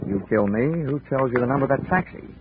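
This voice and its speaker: An actor playing a cocky, hard-boiled insurance investigator in 1940s radio drama style, with a wry, sardonic, punchy delivery. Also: male voice, medium pitch, lively intonation, fast talker, lightly acted. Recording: scratchy 1940s radio recording, phone-like band.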